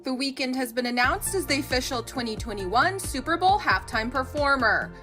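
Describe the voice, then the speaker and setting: A woman narrates scripted entertainment news in a high voice. Clear music runs underneath the whole read. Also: lively intonation, normal speed. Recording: music bed under voice-over.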